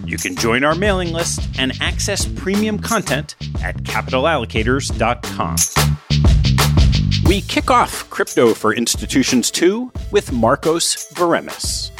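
A man's voice: on the high side, very animated, measured pace. Male podcast host over prominent music. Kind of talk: scripted podcast introduction and interview questions.

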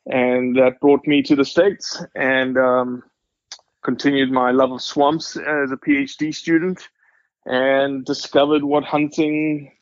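A South African man in his forties, talking in a humble, rambling way as a podcast guest. His voice sits at a middle pitch and comes across a little dull and muffled. The room is dead and dry. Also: little pitch movement, takes it slowly, no echo. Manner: humble, rambling with many restarts. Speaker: guest, wildlife scientist. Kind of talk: casual podcast conversation.

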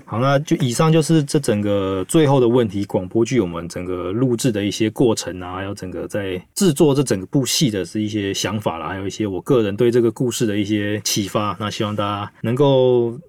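Male podcast host, Taiwanese Mandarin accent, lowish pitch, quick casual delivery, close dry sound. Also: darkish tone, no echo. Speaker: podcast host and audio drama director. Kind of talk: casual chat about producing an audio drama.

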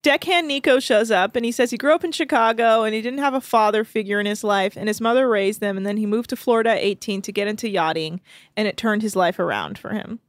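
A woman's voice: medium pitch, quick pace, no echo. A woman co-hosting a podcast, recapping a reality show in casual chat, laughing often and joking crudely.

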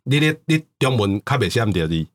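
A man's voice: medium pitch, very animated, a little fast. A man with a Taiwanese accent explaining his views in casual discussion, emphatically repeating his points. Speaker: podcast speaker on language.

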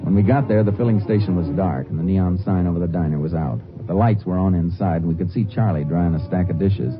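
An actor playing a steady, dogged Texas Ranger who narrates and questions suspects in a firm, measured way in a 1950s radio drama. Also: male voice, sits low, music in the background, natural voice. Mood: calm narration. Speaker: narrator and lead character. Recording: tinny old radio broadcast.

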